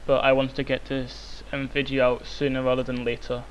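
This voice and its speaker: A young man, hoarse and croaky from illness, rambling with many ums through a software tutorial. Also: mid-range voice, monotone, a little fast, highs cut off. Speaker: tutorial maker. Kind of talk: screen-recorded software tutorial. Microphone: cheap microphone. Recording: faint hiss, cheap mic.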